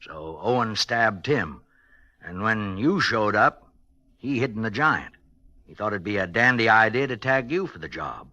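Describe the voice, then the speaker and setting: An actor playing a knowing, dry, bluntly paternal investigator in a 1950s radio drama. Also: male voice, on the low side, lively intonation, unhurried, sharp tone, no room sound. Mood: grave, explaining.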